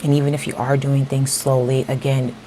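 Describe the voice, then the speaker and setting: A woman giving a casual tarot reading straight to her viewers, a little hoarse as she is losing her voice, often checking in with "okay?". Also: a bass voice, little pitch movement, fairly quick, warm tone, a little hiss or hum. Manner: talks straight to viewers, repeats "okay?" and "you know". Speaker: tarot reader.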